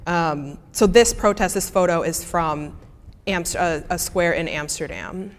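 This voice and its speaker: A woman's voice: lowish voice, unhurried. A young woman with a Midwestern American accent, a student answering audience questions casually with many fillers and restarts. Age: young adult. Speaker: student presenter. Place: lecture hall. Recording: reverberant lecture hall.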